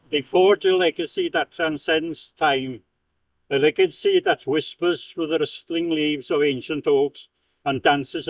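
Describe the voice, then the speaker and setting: A man from Wales reading a prepared speech aloud in a slow, declamatory way, with stumbles and restarts. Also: on the high side, no room sound, far from the mic, poor audio. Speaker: speaker at a commemoration.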